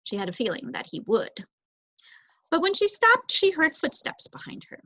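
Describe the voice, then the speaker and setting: A young woman giving an expressive reading of a children's novel as narrator. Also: high voice, normal speed. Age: young adult. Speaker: story reader, student. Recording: roomy echo, dull muffled sound.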